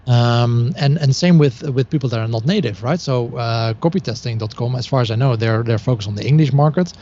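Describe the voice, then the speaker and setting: A Dutch man speaks English as a second language in an easygoing podcast chat. His tone is dark and mellow. He hesitates often, restarting sentences and filling gaps, and the audio is dull and lo-fi. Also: medium pitch, a little fast. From Netherlands. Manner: hesitant, many restarts and fillers. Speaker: guest.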